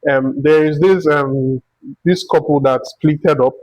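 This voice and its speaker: Nigerian male talk host, somewhat high pitch, discursive and conversational. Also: steady tone, a little slow, a little mellow, no room sound, lo-fi sound. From Akwa Ibom, Nigeria. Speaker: interview host. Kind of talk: conversational interview.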